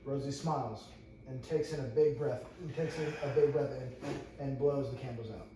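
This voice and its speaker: A man's voice: mid-range voice, steady tone, moderate pace, mellow. Guy reading stage directions at a table read, trips on words, really echoey room, bit of noise. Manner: stumbles and repeats phrases while reading. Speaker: narrator at a script table read. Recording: echoey room, far from mic, faint noise.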